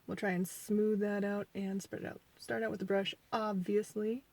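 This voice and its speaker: A woman's voice: mid-range voice, flat delivery, normal speed. A woman in her forties reviewing a foundation in a chatty, rambling way, a bit sniffly from allergies. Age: 40s. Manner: chatty, rambling, self-deprecating; quieter and mumbling while applying makeup. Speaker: beauty vlogger. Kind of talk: casual makeup review.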